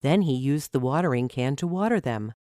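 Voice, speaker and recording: A woman's voice: extremely low pitch, lively intonation, brisk, mellow, dry recording, clean studio sound. A woman narrating a scripted story for children.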